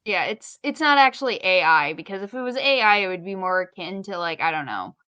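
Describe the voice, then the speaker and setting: A woman's voice: mid-range voice, animated, brisk, sharp tone, clean tone, no room sound, muffled recording. Woman, mid-range voice, informal explainer, casual podcast chat, no room sound. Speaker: podcast co-host.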